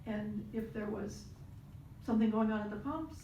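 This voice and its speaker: A woman's voice: medium pitch, moderate pace, warm tone, lo-fi sound, a little hiss or hum. A woman in her seventies speaking reflectively as a panelist, with some restarts. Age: 70s. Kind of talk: panel discussion. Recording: roomy hall audio from far off.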